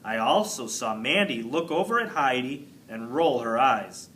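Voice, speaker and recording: A man reading a children's book aloud in an expressive, emphatic narrating style. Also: medium pitch, measured pace, a little hiss or hum. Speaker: storybook reader.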